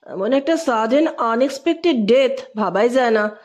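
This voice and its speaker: A woman's voice: on the high side, animated, moderate pace, darkish tone, soft background music, natural voice. A woman voicing a concerned, tender stepfather in an audio story, in a grave and sympathetic manner. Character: concerned, tender married man, anxious stepfather.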